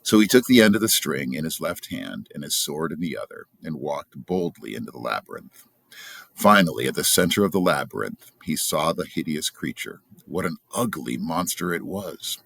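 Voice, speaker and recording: A man's voice: extremely low pitch, lively intonation, moderate pace, gravelly, a bit bright, breathy edge, dry recording. A man reading a story aloud as a narrator. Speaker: storybook reader.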